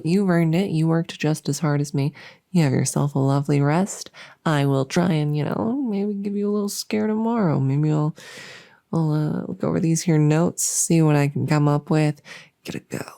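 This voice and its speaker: A woman playing an anxious, overworked but friendly monster under the bed in a comedic ASMR roleplay, rambling and stammering softly, often slipping into a whisper. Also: sits low, normal speed, vocal fry. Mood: friendly, winding down. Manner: rambling, nervous, stammering and repeating herself.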